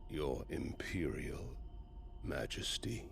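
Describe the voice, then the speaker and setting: Very deep, gravelly, menacing warlord. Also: male voice, big pitch swings, slight background noise, heavily acted. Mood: calculating, menacing. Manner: measured, deliberate, theatrical. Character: imposing, scornful warlord lord, adult man, menacing and smug. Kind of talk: dramatic video game cutscene acting.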